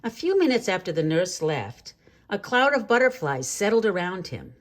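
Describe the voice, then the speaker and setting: Female storybook reader, mid-pitched, expressive and measured, with dramatic phrasing for a children's tale. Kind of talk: expressive read-aloud children's story narration.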